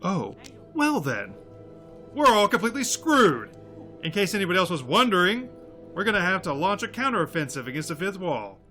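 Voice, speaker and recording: Man acting a sardonic, forceful-voiced agent. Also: high voice, big pitch swings, brisk, faint music behind, full character voice. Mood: sarcastic. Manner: sardonic, world-weary, emphatic. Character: gruff, sardonic male agent leading a resistance. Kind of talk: audio drama acting.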